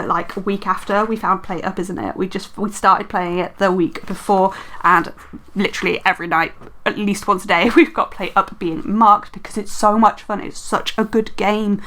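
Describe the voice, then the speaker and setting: A young woman talks her way through her week in a loose, rambling voice-over, full of ums and quick self-corrections. Her throat sounds a touch dry, and she records at home in a quiet room. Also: mid-range voice. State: slightly dry throat from dehydration. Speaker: planner vlogger. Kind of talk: casual chatty voice-over.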